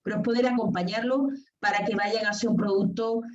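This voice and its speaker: A woman's voice: medium pitch, fairly even intonation, fairly quick, a little hiss or hum. A woman from southern Spain, giving an academic talk with slides and telling stories to her audience. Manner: storytelling, addresses audience directly with questions. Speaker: lecturer. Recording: echoey, distant, dull lo-fi sound.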